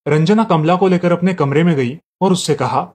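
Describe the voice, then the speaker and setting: A man's voice: on the high side, normal speed. Man narrating a cartoon story. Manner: steady storytelling.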